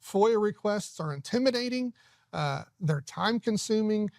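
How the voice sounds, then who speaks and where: A man's voice: high-pitched, expressive, measured pace, vocal fry, a little mellow, no echo, studio quality. A man speaking as a city council candidate in an earnest TV interview, often restarting his sentences. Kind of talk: TV studio interview.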